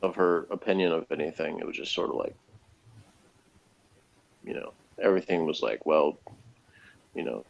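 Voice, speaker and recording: A man's voice: extremely low pitch, normal speed, gravelly, pauses often, highs cut off. A man chatting informally as he co-hosts a TV review, talking in a hesitant, rambling way. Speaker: co-host of a TV review show. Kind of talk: casual review chat.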